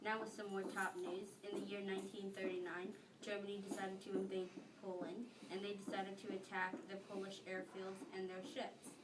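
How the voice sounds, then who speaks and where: A woman's voice: medium pitch, little pitch movement, moderate pace, few pauses. A teenage girl reading a scripted school newscast as the student anchor. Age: teens. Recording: very noisy, echoey room, distant mic.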